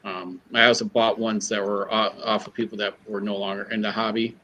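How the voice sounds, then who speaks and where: A man co-hosting a casual chat, easygoing and halting as he talks. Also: fairly low pitch, level tone, pushed and bright, muffled recording, slight background noise.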